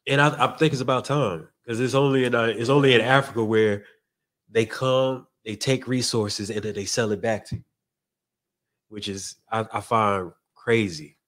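A young man from Nigeria whose first language is Yoruba, chatting casually as a guest on a studio talk show and reading viewer comments with a candid, relaxed manner, speaking into a studio mic on a stand. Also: mid-range voice, normal speed. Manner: relaxed, candid, repeats phrases. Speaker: podcast guest. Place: studio set.

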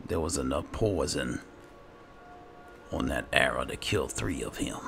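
A man with a gravelly voice giving casual, low-key commentary while playing a fantasy game, musing aloud as he goes. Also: animated, unhurried, a bit bright, soft background music. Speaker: gaming host. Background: game ambience.

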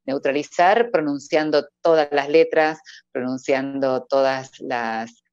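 Low-voiced Argentine woman on a video call. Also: takes it slowly, clean tone, dry recording. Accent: Cordobés Argentine Spanish. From Córdoba, Argentina. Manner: laughs often, warm sing-song lilt. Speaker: voice-over artist guest. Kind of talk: casual interview over video call. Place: indoor room at home. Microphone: earphone headset on video call. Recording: dull, dry video-call audio.

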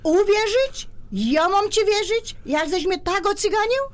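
A scolding highland mother. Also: measured pace. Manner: scolding, sharp, regional speech. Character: scolding Polish mother, middle-aged wife. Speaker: wife Kasia. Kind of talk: radio drama acting.

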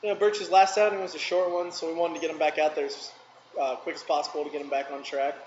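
Fast-talking baseball manager, echoey room. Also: male voice, fairly high pitch, little pitch movement, darkish tone. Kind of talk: press conference answers. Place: press conference room, seated at table. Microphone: table mic. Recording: echoey room, dull noisy sound.

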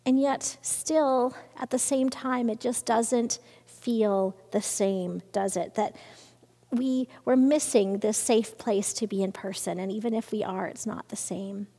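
A woman minister in her thirties, gently explaining to her congregation in a church sanctuary. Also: fairly high pitch, steady tone, unhurried. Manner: gentle, explaining, with hand gestures. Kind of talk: children's conversation time. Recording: long reverb of a church sanctuary.

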